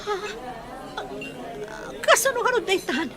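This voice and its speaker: A very high voice with an Ilocano accent. An actress plays a sweet, trusting young woman in a village radio drama. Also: big pitch swings, takes it slowly, a crowd in the background, heavily acted. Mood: puzzled, worried.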